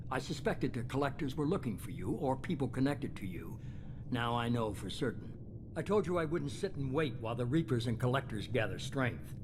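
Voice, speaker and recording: A man playing a composed, calculating mastermind with a measured, authoritative manner in a video game drama. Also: medium pitch, background noise, close to an everyday voice. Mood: calculating, unapologetic. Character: calculating, composed male mastermind of shadowy organization.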